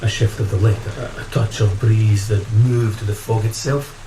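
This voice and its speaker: In an echoing space lashed by rain, a man plays a hard-nosed ship's first mate. His voice is low, his manner insistent and scornful, in gothic radio-drama style. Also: steady tone, moderate pace, noisy background, natural voice. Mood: stern, dismissive. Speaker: actor in audio drama. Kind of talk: gothic horror audio drama acting. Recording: echoey room, loud rain and thunder.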